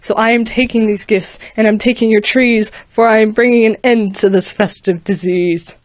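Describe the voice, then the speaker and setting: A woman voicing a cold, cruel male dark lord who makes slow, booming threats in a storybook reading. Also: fairly even intonation, dry recording, natural voice. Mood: menacing.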